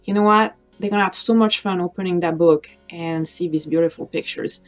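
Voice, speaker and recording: A woman's voice: lowish voice, brisk, soft background music. A woman in her thirties or forties with a French accent, a native French speaker, talking informally and earnestly to camera as a wedding photographer giving advice. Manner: conversational, earnest, non-native English phrasing. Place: small home office, indoors.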